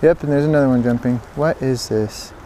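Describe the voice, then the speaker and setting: A man giving excited, casual fishing commentary, repeating words in bursts, speaking outdoors into a body-worn action camera. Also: medium pitch, brisk. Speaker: fishing vlogger. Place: outdoors beside a stream. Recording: outdoor water noise behind voice.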